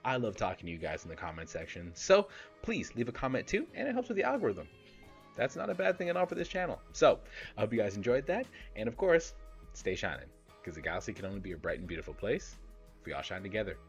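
A man in his thirties gives blunt, playful fitness advice straight to camera, his pitch rising and falling with lots of energy. He speaks close to a podcast mic in a small home room, and music plays behind him. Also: quick pace, muffled recording. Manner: animated, blunt and playful, with casual swearing. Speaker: fitness YouTuber host. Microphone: close podcast microphone, seen.

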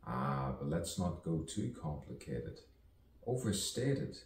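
A man performing a theatrical poetic soliloquy full of wordplay, musing dramatically and drawing his words out with long pauses. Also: extremely low pitch, sing-song. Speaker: poet performing own monologue. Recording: roomy, distant mic, faint background noise.

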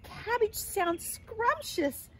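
A woman's voice: sits high, wildly swooping pitch, normal speed, distant mic, slight background noise, pitched above the performer's own voice. A woman voicing a cheerful, innocent little female caterpillar from a storybook, eager and exclaiming.

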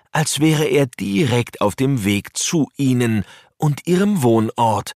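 A man's voice: medium pitch, no echo. A man narrating a scripted children's story as its storyteller, close to the microphone.

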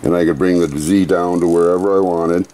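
A middle-aged man in a workshop, walking through a machine's controls in an unhurried, methodical, plain-spoken way. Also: deep voice, steady tone, mellow. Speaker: CNC machine demonstrator. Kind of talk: hands-on equipment demonstration. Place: workshop beside a CNC router.

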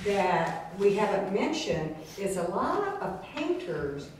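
Slow-spoken woman in an echoey gallery. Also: mid-range voice, sing-song, a little mellow, a little hiss or hum. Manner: unhurried, advising. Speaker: print collector on a panel. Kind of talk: casual panel discussion. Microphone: far camera. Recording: echoey room from far off.

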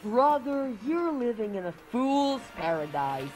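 A woman's voice: a little high, sing-song, measured pace, loud music behind the voice. A woman voicing a cocky young male superhero in an amateur comic dub, teasing and breezy. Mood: taunting.

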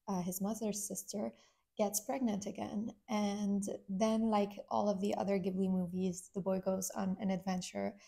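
A woman's voice: medium pitch, creaky, mellow. A young woman co-hosting a casual film review, explaining the story in a chatty way with fillers.

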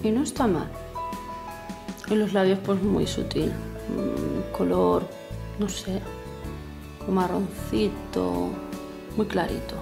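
A woman's voice: medium pitch, huge pitch swings, slow pace, vocal fry, pauses often. A woman, chatting casually to camera in a rambling way with self-mocking asides. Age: 40s. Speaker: vlogger. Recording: echoey room with faint music.

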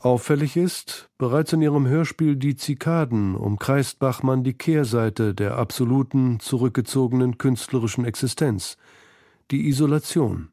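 Mellow male documentary narrator, dry sound. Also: mid-range voice, normal speed, no room sound.

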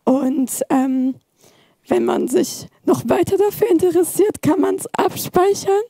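A hoarse teenage girl giving a halting live demo on a handheld mic at a youth event. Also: very high-pitched, expressive, dark tone, no echo, clean studio sound. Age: teens. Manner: hesitant, many fillers. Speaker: student presenter. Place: event hall, audience present.

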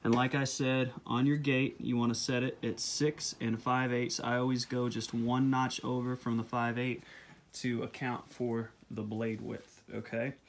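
A man's voice: mid-range voice, level tone, measured pace, slight background noise. A man giving step-by-step woodworking instructions in his home workshop, talking plainly, repeating measurements and checking in with "okay?". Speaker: beekeeping video host. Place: home workshop at a table saw.

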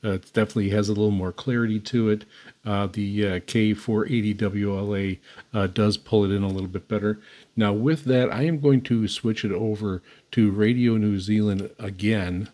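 A man giving an unscripted hands-on demonstration as host, in a halting, hesitant way. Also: on the low side, fairly even intonation, mellow. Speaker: host demonstrating radio antennas. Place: indoor room beside radio desk.

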